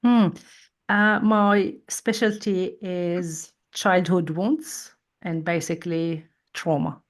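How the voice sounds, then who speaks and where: A woman in her forties, a psychologist guest speaking in a measured, thoughtful way in an interview. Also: on the low side, steady tone. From Lebanon. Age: 40s. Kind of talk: interview conversation.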